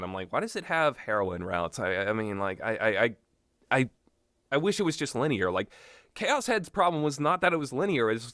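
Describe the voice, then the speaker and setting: A man's voice: medium pitch, fairly bright tone, dry recording, studio quality. A man giving a casual, unscripted, rambling review of visual novels, blunt and opinionated, often backtracking and going off on tangents.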